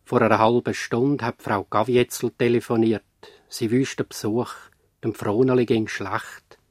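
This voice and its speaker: Man as radio drama narrator, earnest, probing, emphatic short phrases, mid pitch, dry sound. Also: little pitch movement, normal speed, dry recording, lightly acted. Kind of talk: scripted radio play narration.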